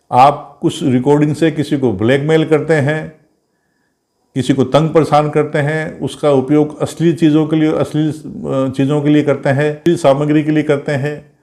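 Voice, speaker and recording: A man's voice: medium pitch, darkish tone. A man giving an informal explanatory lecture as a law teacher, didactic and repetitive, posing questions and answering them.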